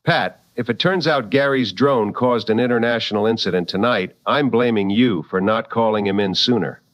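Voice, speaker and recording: A man playing a calm, wry late-night radio host with measured dry humor in a radio drama, slightly muffled. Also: on the low side, close to an everyday voice.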